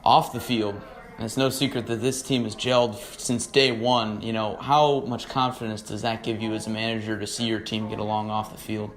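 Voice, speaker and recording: A man hosting a baseball radio pregame show, with a polished, measured announcer's delivery. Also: fairly low pitch, little pitch movement. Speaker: baseball radio play-by-play broadcaster. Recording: background ambient noise.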